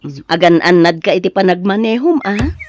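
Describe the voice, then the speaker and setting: An actress plays a married elder sister with a lively, varied intonation and an Ilocano Filipino accent. She is helpful and reassuring, in audio drama style. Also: lowish voice, a little fast, no echo, natural voice. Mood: accepting, caring.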